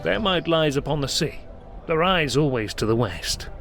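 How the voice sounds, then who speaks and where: A man voicing a gruff, decisive cavalry captain in a fantasy audiobook, urgent and commanding. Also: a little high, lively intonation, normal speed, fairly bright tone, faint music behind, pitched above the performer's own voice. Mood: grave. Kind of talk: dramatised fantasy audiobook character.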